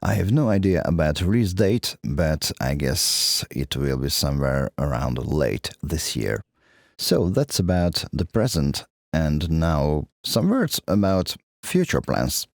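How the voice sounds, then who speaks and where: A man with a low voice and a Hungarian accent talks casually as the host of his own video channel. His non-native English is plain-spoken and measured. The sound is dry, with very little room around it. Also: a little mellow, no echo. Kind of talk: casual video update to camera.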